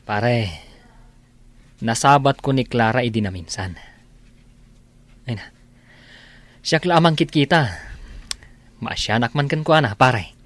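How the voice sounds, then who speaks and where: A man's voice: medium pitch, unhurried, pauses often, no echo, close to an everyday voice. A man with an Ilocano accent acting a concerned friend giving advice in a radio drama. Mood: advising. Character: concerned male friend, gently advising his drinking buddy.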